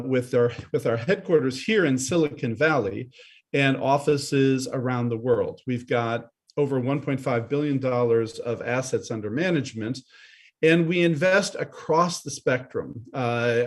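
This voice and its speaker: A man speaking as a panelist on a video call, giving a fluent business pitch with some repeated starts. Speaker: venture capital partner, panelist.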